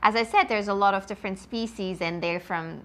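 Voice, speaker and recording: A woman's voice: mid-range voice, fairly quick, a bit bright. A woman from Switzerland with a Swiss accent, a marine biologist answering interview questions in an enthusiastic, explanatory way, with many ums.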